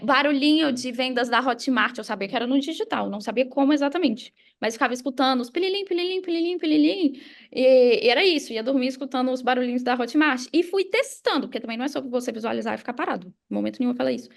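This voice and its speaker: Podcast guest: Brazilian woman, notably high pitch, gravelly fry, casual motivational coach delivery, emphatic. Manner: emphatic, repeats key phrases, uses examples and acted quotes. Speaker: podcast guest, affiliate marketer and mindset coach.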